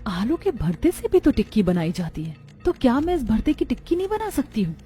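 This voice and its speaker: A woman acting a caring, earnest cartoon woman of a poor household. The voice is high and very mellow, speaking expressively, with music underneath. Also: big pitch swings, a little fast. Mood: happy, tender. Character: caring women of a poor cartoon family and neighbourhood.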